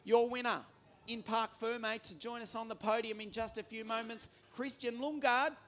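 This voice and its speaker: A man's voice: very high-pitched, steady tone, moderate pace, pushed and bright, a little hiss or hum. A man giving live motorsport commentary from trackside, rattling off names and results with enthusiasm. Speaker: race commentator. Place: trackside at a karting circuit in southern Italy.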